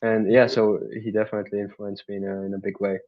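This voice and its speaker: A young man from the Czech Republic with a Czech accent, a native Czech speaker, answering interview questions remotely in a halting way. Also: on the low side, level tone, lo-fi sound. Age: young adult. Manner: halting, with restarts and fillers. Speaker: guest, mindset coach.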